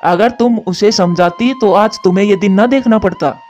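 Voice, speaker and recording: A man's voice: high voice, normal speed, a little mellow, lightly acted. A man voicing an earnest, admonishing crow, a concerned father in a cartoon. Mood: exasperated. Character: male crow, concerned scolding father and husband. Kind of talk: Hindi cartoon voice acting. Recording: muffled narrow-band audio over music.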